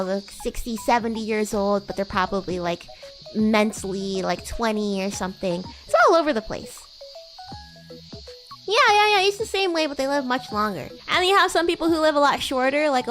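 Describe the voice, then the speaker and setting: A woman's voice: fairly high pitch, a bit bright, close to an everyday voice. A woman voicing a bratty, teasing young demon classmate in an improvised livestream roleplay, rambling playfully. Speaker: VTuber streamer.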